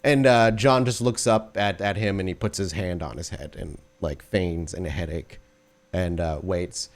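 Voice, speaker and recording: A man working as game master, improvising descriptive narration for a tabletop game in a halting way. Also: sits low, fairly even intonation.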